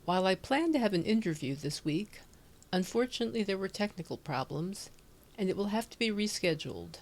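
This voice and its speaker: A woman's voice: medium pitch, very animated, fairly quick, a little mellow. A woman hosting a podcast, giving a scripted introduction. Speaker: podcast host.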